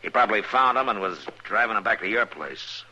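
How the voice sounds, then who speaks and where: A man playing a stern, steady frontier marshal in a western radio drama, speaking in a firm, terse, authoritative way. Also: on the low side, a little fast. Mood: firm, accusing. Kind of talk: old-time radio western drama acting. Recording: dull, lightly noisy old radio recording.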